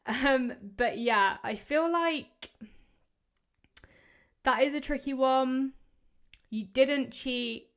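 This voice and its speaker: A woman in her twenties hosting a podcast, giving casual, rambling advice full of fillers, her voice going hoarse. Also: high-pitched, extremely slow, pauses often. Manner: chatty, rambling, many fillers. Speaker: podcast host. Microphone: podcast microphone.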